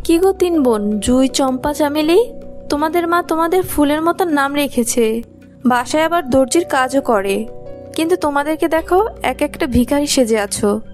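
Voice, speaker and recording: A woman's voice: high-pitched, expressive, normal speed, darkish tone, faint music behind, pitched above the performer's own voice. A woman voicing a mean, snobbish rich schoolgirl in a cartoon, mocking and haughty. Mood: mocking, scornful. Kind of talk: cartoon voice acting.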